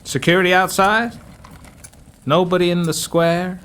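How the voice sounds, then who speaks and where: A man's voice: sits high, fairly even intonation, unhurried, a bit bright, clean tone. A man playing a brusque, impatient, dismissive President of the United States in an audio drama. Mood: grudging. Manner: dismissive, commanding. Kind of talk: fan-made audio drama acting.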